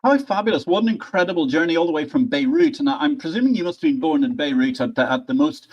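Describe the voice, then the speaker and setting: Effusive man, lively swooping pitch. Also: quick pace, slightly rough, lo-fi sound. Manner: effusive, theatrical delivery with sweeping pitch swings. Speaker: host. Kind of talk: livestream interview.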